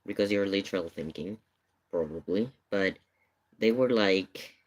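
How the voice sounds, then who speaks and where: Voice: low voice, unhurried. A streamer chatting casually and telling a rambling story, with hesitant, repetitive phrasing. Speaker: live streamer reacting to videos. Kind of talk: casual livestream chat, rambling anecdote.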